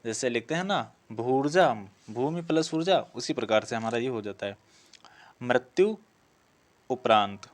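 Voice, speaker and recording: A male teacher with a medium-pitched voice works through exam practice questions. He explains as he goes, posing each question and then answering it himself. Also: lively intonation, measured pace. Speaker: Hindi grammar teacher for police exam prep. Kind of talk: exam lesson, reading and solving practice questions.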